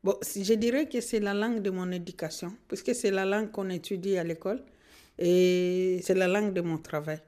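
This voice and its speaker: A middle-aged woman from Congo, a Kinande speaker, answering in a radio interview in an earnest, measured way. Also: medium pitch, fairly even intonation, mellow. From Democratic Republic of the Congo. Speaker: social worker and guest.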